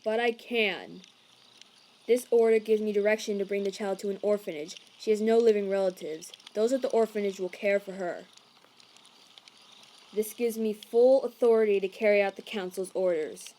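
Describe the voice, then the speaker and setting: A woman voicing a haughty, prejudiced male envoy in an animated comic dub, stiff, officious and condescending. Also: medium pitch, moderate pace, close to an everyday voice. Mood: stern.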